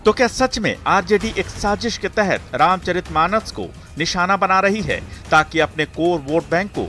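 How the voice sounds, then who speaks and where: A man's voice: high voice, moderate pace, bright, forceful tone. A man reading a scripted news report as a voice-over, in a dramatic, insinuating manner. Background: background music.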